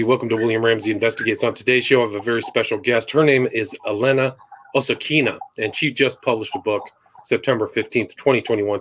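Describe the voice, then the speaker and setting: A man's voice: fairly low pitch, poor audio. A man hosting a show, casually introducing a guest and the topic. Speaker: show host.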